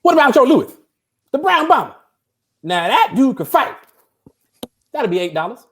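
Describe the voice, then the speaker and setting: Man playing a lively, cantankerous old barber. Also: very high-pitched, normal speed, full character voice. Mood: boastful. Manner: argumentative, emphatic, slangy. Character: contentious, loud old barber arguing about boxing.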